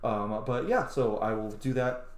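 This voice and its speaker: A man's voice: mid-range voice, measured pace. A man, around his thirties, giving casual, enthusiastic let's-play commentary in chatty asides. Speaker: game streamer and video host. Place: small room indoors at home. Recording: roomy sound, game music behind. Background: video game music and dialogue.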